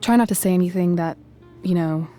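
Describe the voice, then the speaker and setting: A woman's voice: lowish voice, fairly quick, warm tone, close to an everyday voice. Confident teen girl over faint music, woman acting. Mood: hushed, wry. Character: confident, scheming teenage girl, poised daughter. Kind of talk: video game cutscene acting.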